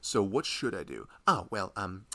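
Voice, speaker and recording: A man's voice: low voice, big pitch swings, moderate pace, pushed and bright, heavily acted. A man playing a loving husband who rambles tenderly and a little anxiously in an intimate, conversational ASMR roleplay. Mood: playfully exasperated. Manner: rambling, hesitant, conversational, frequent "you know". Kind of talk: intimate ASMR boyfriend roleplay, improvised-sounding chat.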